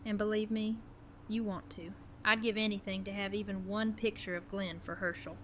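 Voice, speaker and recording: An actress plays a caring, brisk woman friend in a fan audio drama. She is matter-of-fact, middle-pitched, with faint noise behind. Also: little pitch movement, fairly bright tone, close to an everyday voice, poor audio.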